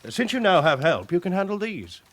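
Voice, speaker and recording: A stern British chief inspector in a radio drama. His voice sits a little high and moves about in lively swings. He gives orders in a clipped, dryly commanding way. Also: brisk, slight background noise. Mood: brisk, commanding. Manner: authoritative, clipped, orderly delivery.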